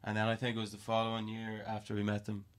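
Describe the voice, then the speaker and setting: A man's voice: fairly low pitch, monotone, fast. A man with an Irish accent chatting casually as a musician in an interview. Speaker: band musician being interviewed. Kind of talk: casual chat.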